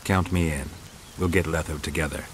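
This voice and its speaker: An actor playing a terse, skeptical monster-hunting swordsman in a video game, gravelly and dry, speaking in clipped phrases. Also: extremely low pitch, fairly even intonation, moderate pace, a little hiss or hum. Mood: blunt. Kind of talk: game dialogue acting.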